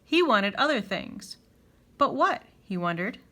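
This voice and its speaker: Children's book narrator: woman, lively melodic range, slightly high, clearly phrased and expressive. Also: unhurried, slight background noise. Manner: animated, clearly phrased storytelling. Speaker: storyteller reading a children's picture book.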